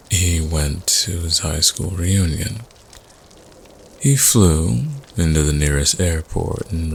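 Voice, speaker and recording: A man acting as a laconic storyteller at a campfire, deep and gravelly, telling an eerie tale in an understated way. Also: slight background noise, full character voice. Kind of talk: audio roleplay campfire storytelling.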